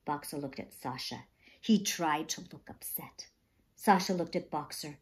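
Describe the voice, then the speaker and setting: A woman reading a children's story aloud with expressive, dramatic, emphatic narration. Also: low-pitched, a little slow.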